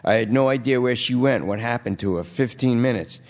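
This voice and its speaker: A man's voice: medium pitch, fairly quick, a little mellow, pitched above the performer's own voice, lightly acted. A man voicing a jittery, wheedling male junkie under arrest who pleads and rambles. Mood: pleading.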